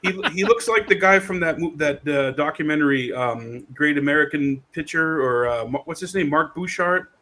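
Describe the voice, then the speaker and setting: A man's voice: a little high, animated, normal speed, few pauses, pushed and bright, a little hiss or hum. A man hosting a casual conversation show, talking informally like a guy among buddies. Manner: informal, says "dude" and "man". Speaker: podcast host.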